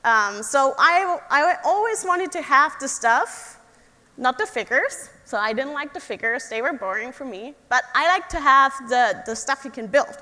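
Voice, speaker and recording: A young woman with a German accent gives a friendly talk to a live audience, and she often stops to ask them questions. Her voice is high and bright, with frequent ums. A long hall reverb trails behind her words. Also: animated, moderate pace. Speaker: engineer giving a talk. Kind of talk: presentation to an audience.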